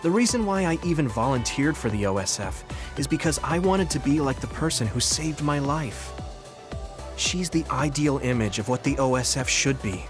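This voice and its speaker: An earnest young soldier from an anime game, played by a man, speaks with a lively, swinging pitch. He is kind and sincere, easily flustered and hesitant, over a music bed. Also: normal speed, close to an everyday voice. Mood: friendly, sincere.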